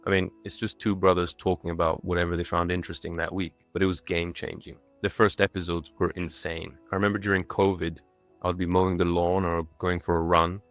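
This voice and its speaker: A young man in his twenties speaks in a low-pitched voice, with faint music underneath. He narrates a personal video from a script, with a lightly self-mocking, tongue-in-cheek touch. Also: fairly bright tone. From born and raised in Dubai in a Croatian family, moved to England as a child. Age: 20s. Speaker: video creator.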